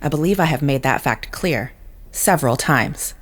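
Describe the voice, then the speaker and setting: An actress playing a controlled, coolly logical telepath in an audio drama, speaking in a formal, precise, guarded way. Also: female voice, a bass voice, animated, moderate pace.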